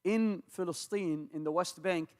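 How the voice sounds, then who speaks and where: A man born and raised in America, an imam preaching in a mosque, with rapid Arabic invocations and earnest English. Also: sits high. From United States. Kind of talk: mosque talk with Arabic recitation. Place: large mosque hall. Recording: long hall reverb.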